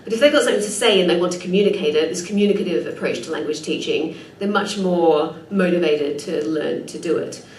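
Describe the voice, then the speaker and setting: A woman presenter at a conference, talking quickly and thinking aloud with fillers while answering questions. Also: fairly low pitch, a little mellow. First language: English. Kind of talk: conference talk and Q&A. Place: conference room. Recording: echoey room, distant mic, faint noise.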